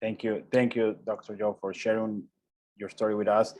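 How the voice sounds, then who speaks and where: Earnest man asking halting questions. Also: lowish voice, level tone, darkish tone. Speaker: interview host. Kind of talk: interview questions.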